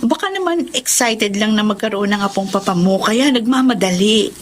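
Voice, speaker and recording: Woman acting animated, frank young best friend. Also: mid-range voice, normal speed, a little hiss or hum, natural voice. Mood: concerned, reassuring. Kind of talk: Filipino radio drama acting.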